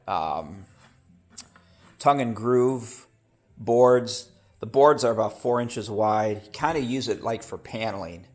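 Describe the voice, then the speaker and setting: Brief: man with a cold, low gravelly voice, casual rambling shop-talk vlog. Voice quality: rough, gravelly. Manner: rambling, self-correcting, with hesitations. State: has a cold. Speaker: homebuilt aircraft builder. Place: home workshop.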